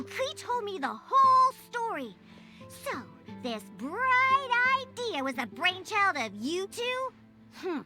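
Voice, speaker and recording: A woman's voice: extremely high pitch, sing-song, slow pace, over loud music, heavily acted. A woman voicing a feisty, indignant little cat-girl in an anime-style game, scolding dramatically.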